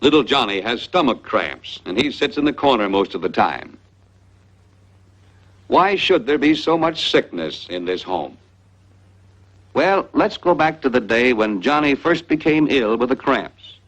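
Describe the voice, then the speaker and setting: Lively 1940s educational film narrator, man. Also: mid-range voice, a little slow. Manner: didactic, folksy storytelling. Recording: dull vintage 1940s film soundtrack.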